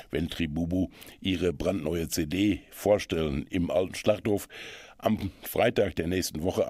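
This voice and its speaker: A man's voice: sits low, a little mellow, breathy edge, no echo, studio quality. A man hosting a radio show, presenting in a formal, promotional, scripted style. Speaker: radio host.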